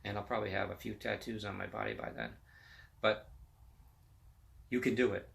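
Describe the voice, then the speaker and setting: Upbeat gravelly man in his fifties. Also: lowish voice, steady tone, brisk, a bit bright, slight background noise. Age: 50s. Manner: enthusiastic, upbeat, motivational. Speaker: vlog host. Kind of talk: casual sports and fitness chat.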